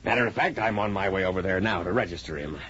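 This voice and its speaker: A man acting a hearty, self-important middle-aged wealthy American father in a 1940s radio drama, assured and brisk. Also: low voice, fairly bright tone. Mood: proud, self-satisfied. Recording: muffled, noisy vintage 1940s radio broadcast.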